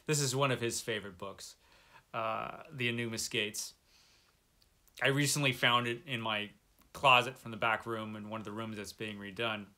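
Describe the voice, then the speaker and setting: A middle-aged man with a very bright, forward voice at an average pitch. He chats casually to an online audience like a book vlogger, wandering into wry, self-mocking asides. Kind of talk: casual chat to camera, book recommendations.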